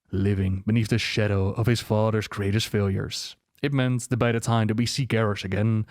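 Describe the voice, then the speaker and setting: A man's voice: lowish voice, darkish tone, no echo, studio quality. A man narrating game lore from a script, in a dramatic storytelling manner.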